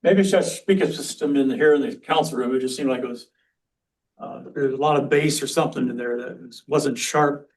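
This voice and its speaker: A man's voice: medium pitch, a little fast, rough recording. Male, mid-range voice, informal meeting leader in a council room. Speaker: committee member leading the meeting. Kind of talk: informal public committee meeting discussion.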